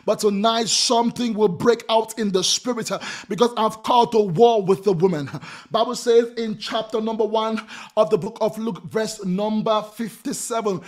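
A man in his thirties preaching over a video call from a small room at home, hoarse from losing his voice, shouting in a chanting cadence with a grunted "uh" between phrases and breaking into tongues. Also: high-pitched. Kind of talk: charismatic sermon with shouting and speaking in tongues. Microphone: webcam in front of him, video call.

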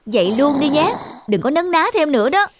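A woman's voice: high voice, sing-song, brisk, background noise. A woman voicing a practical, bossy village wife in a folk-tale cartoon. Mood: nagging.

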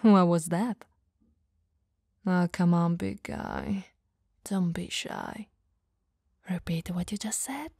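Woman playing elegant female vampire, dominant, flirtatious, caring, soft breathy ASMR delivery, unhurried pace, close dry sound. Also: on the low side, dry recording, exaggerated voice. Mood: teasing, seductive. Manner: soft, breathy, slow teasing delivery, often dropping to whispers. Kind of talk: ASMR comfort roleplay.

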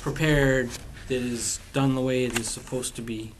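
A man on a planning board, speaking in a measured, procedural way at a public meeting. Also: medium pitch, fairly even intonation, a little hiss or hum. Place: town hall meeting room.

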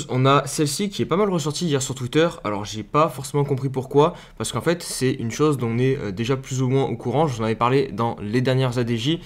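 A young man chatting casually through crypto news over a screen recording, loose and full of fillers and restarts. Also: mid-range voice. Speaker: crypto YouTuber.

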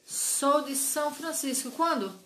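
Woman, 40s, craft live-stream host, high bright voice, slow easy pace, echoey room, webcam mic. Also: expressive, slight background noise. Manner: chatty, greets viewers by name, frequent "certo?" tag questions. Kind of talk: live sewing tutorial chat. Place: small craft studio with shelves of dolls, indoors.

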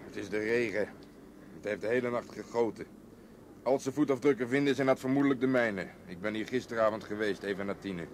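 A man's voice: fairly low pitch, a little fast, natural voice. An actor in a radio drama playing a dry, grumbling policeman who talks in a clipped, matter-of-fact way. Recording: old radio play, faint noise.

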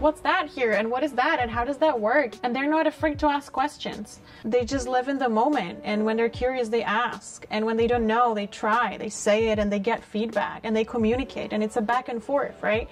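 A woman in her late twenties with a Czech accent, a native Czech speaker, talking informally and reflectively as an interview guest. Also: a little high. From Czech Republic, small town. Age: late 20s. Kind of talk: casual conversational interview. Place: small bright living-room style set, indoors.

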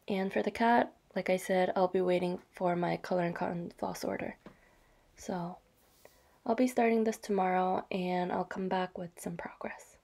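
A woman vlogger narrating her craft projects in a soft, chatty way. She is slightly hoarse from a cold and adds small apologies and fillers. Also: mid-range voice. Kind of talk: casual show-and-tell narration.

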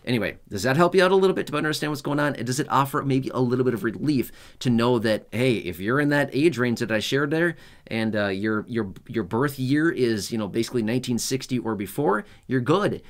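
A man's voice: medium pitch, a little fast. Chatty man vlogging to camera. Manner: conversational, keeps checking in with "okay?" and "right?"; repetitive, reassuring, animated delivery. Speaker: YouTube channel host. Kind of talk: talking-head explainer vlog. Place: small indoor home studio room. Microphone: fixed camera at chest-up framing.

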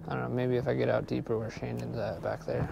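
A man's voice: medium pitch, level tone, quick pace, creaky, background noise. A young man vlogging casually outdoors on a frozen lake, chatting upbeat to viewers about his fishing gear, recorded on an action camera. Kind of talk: casual outdoor vlog chat.

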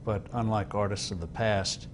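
Older man, low voice, interviewer, measured, lots of uh, faint room hum. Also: fairly even intonation. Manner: measured, with frequent "uh" fillers. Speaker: interviewer host. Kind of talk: interview questions. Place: studio set like a living room.

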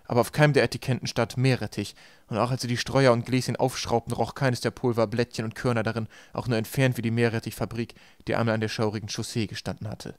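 A man's voice: mid-range voice, flat delivery, fast, dry recording. A man reading a children's novel aloud as scripted audiobook narration. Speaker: audiobook reader.

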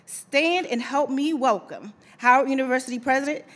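A woman's voice: high voice, moderate pace, fairly bright tone. A middle-aged woman reading a formal introduction at a podium in an emphatic, declamatory way. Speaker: introducer, university alumna. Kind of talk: formal scripted introduction speech. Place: auditorium stage, indoors. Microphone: podium gooseneck microphone.

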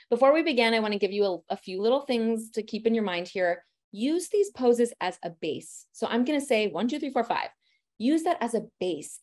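A woman in her thirties, a family photographer, giving a lecture on posing in a lively, direct and encouraging way. She talks a lot and has a loud voice. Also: a little high. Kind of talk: presentation lecture.

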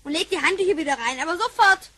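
Very high, cheeky young girl's voice. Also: lively intonation, fast talker, bright, forceful tone, exaggerated voice. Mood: indignant. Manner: cheeky, direct, slangy. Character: plucky, forthright schoolgirl. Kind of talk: radio play acting.

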